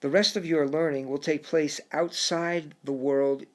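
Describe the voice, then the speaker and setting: A formal, unhurried male frog with an English accent, put on by a man reading a storybook. He speaks in a higher, brighter register than the narrator, patient and courteous, and keeps saying sir. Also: fairly even intonation, lightly acted. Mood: calm, instructive. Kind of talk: audiobook storytelling.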